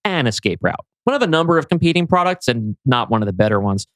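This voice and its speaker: A man's voice: medium pitch, very animated, quick pace, fairly bright tone, no echo, studio quality. A man reading the part of a breezy, slangy buddy in a science-fiction script, with a flippant manner. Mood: flippant explaining. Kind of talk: script table read.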